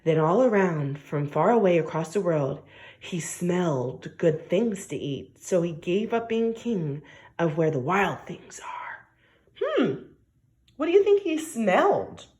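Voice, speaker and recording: A woman teacher reading a story aloud to young children, expressive and coaxing, often asking questions and stressing key words. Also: on the low side, a little slow. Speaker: primary school teacher.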